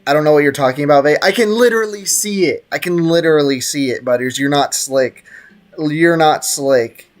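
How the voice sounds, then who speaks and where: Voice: mid-range voice, normal speed. Teasing game streamer with faint music behind. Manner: teasing, slangy, mock-stern. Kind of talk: casual livestream chat over gameplay.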